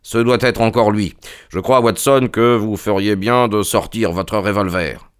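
A man voicing a sharp, confident detective in a brisk, authoritative, emphatic way. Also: lowish voice, smooth, no room sound, clean studio sound, natural voice. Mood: keen, urgent. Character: sharp, commanding male detective, confident and urgent.

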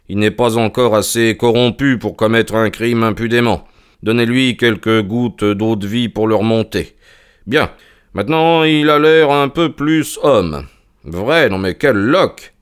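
A man voicing a calm, stern gentleman detective with a measured, condescending manner in an audiobook story. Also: on the low side, clean tone, lightly acted. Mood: calm scorn.

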